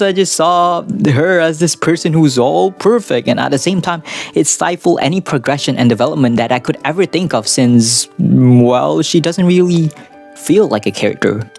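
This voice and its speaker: A man's voice: on the high side, animated, normal speed, creaky, darkish tone. A man giving casual, semi-scripted anime commentary in a rambling, self-deprecating, crudely joking way. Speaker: anime video essayist. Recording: music behind the voice. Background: background music.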